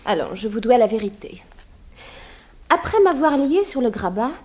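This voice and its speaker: A woman's voice: a little high, sing-song, normal speed, darkish tone, slightly rough. An actress playing a cruel, gloating female master criminal in an old radio crime serial, mocking and savouring her threats. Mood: smug gloating.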